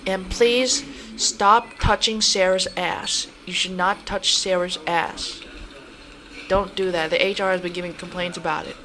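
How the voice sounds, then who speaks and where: A man's voice: sits high, little pitch movement, unhurried, pushed and bright. A man playing a pleading, mock-stern office boss in an improvised comedic roleplay, scolding with hesitations. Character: pleading, punning male boss scolding an employee.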